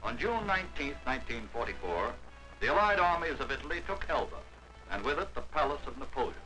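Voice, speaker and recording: A man narrating a 1940s wartime documentary in a measured, declamatory newsreel manner. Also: mid-range voice, big pitch swings, pushed and bright, faint music behind, low-quality recording.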